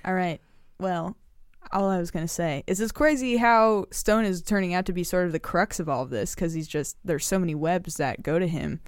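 A woman co-hosting a casual political podcast, musing out loud and hedging with "I feel like". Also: on the low side, normal speed, smooth, no echo, clean studio sound. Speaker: podcast co-host.

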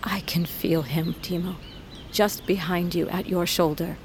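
A woman playing a stoic, commanding older mother in an audio drama, with a measured, solemn delivery. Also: lowish voice, steady tone, darkish tone, slight background noise. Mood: grave. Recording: faint ambience behind.

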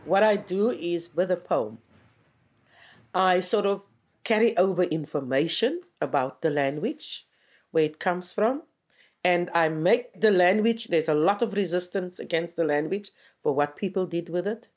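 A South African poet whose first language is Afrikaans, speaking English with a South African accent, giving slow, reflective interview answers with many pauses and fillers. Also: medium pitch, expressive.

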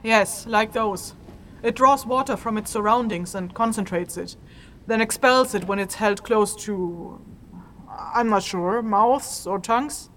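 A woman playing a tough, practical, impatient leader of a fantasy trek in an audio drama, speaking in a brisk, bossy, dry manner. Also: medium pitch, fairly bright tone, a little hiss or hum, lightly acted. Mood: matter-of-fact.

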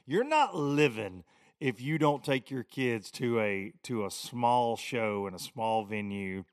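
A hoarse, gravelly man in his forties with a Tennessee drawl, talking loose and folksy on his podcast and breaking into loud bursts. His voice sits at an ordinary pitch and sounds dry and close, with no room around it. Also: expressive, slow pace, no room sound. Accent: Southern US (Tennessee). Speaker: podcast host. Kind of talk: casual solo podcast monologue.

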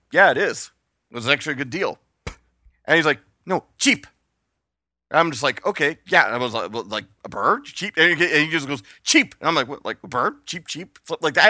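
A man telling stories on a casual podcast, his voice bright and sharp, with a lively pitch that swings up and down. He laughs often and acts out the people he quotes, and the sound is dry and close. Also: no echo. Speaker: podcast co-host. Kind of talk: casual storytelling chat.

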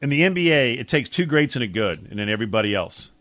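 A man's voice: medium pitch, big pitch swings, fast, a bit bright, dry recording. Blunt American man, dry studio sports talk. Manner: blunt, emphatic, colloquial. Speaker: sports talk radio co-host. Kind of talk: opinionated sports talk, casual chat. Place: radio studio. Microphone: close studio mic.

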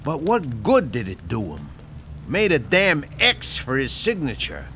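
Radio-drama mob boss, played by a man: slow, gruff, sardonic, Chicago accent, pitch rising and falling a lot. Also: huge pitch swings, bright, forceful tone, soft background music, full character voice. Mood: menacing. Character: menacing 1930s Chicago mob boss, middle-aged. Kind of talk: audio drama acting.

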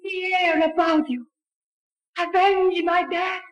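Very high-pitched vengeful ghost girl. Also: fairly even intonation, takes it slowly, background noise. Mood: eerie, vengeful. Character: eerie, vengeful ghost of a young woman. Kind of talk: 1940s radio acting. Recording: dull, muffled vintage radio recording.

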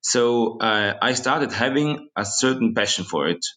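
A young man from Switzerland and South Tyrol, English not his first language, a winery estate manager speaking haltingly and searching for words as an interview guest. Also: mid-range voice, animated, a bit bright. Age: young adult.

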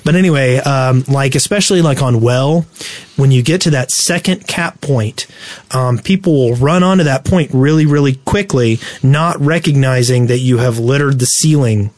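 A man's voice: mid-range voice, moderate pace, darkish tone, no echo. A man co-hosting a gaming podcast, explaining things casually and talkatively. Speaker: podcast co-host.

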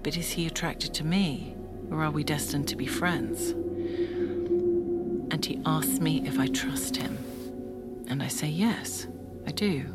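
An actress playing a woman who intimately confides an erotic fantasy in a breathy, confessional audio-drama monologue. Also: low voice. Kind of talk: first-person audio fiction monologue. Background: music.

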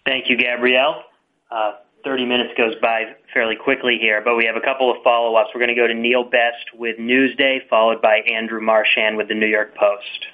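Flat-toned male call moderator. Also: mid-range voice, normal speed. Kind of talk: procedural announcements introducing questioners. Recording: band-limited conference phone line.

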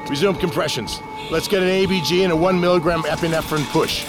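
A man's voice: a little high, steady tone, fast talker, music in the background, close to an everyday voice. A man playing a commanding, short-tempered emergency doctor barking orders in a naturalistic audio drama. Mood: urgent, commanding.

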